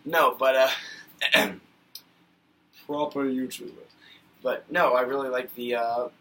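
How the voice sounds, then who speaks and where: Chatty bright-voiced male vlogger. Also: mid-range voice, fairly even intonation, slow pace, recorded from a distance. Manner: rambling and chatty with many fillers, gets worked up. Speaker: YouTube vlogger reviewing cartoon episodes. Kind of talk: casual rambling video review.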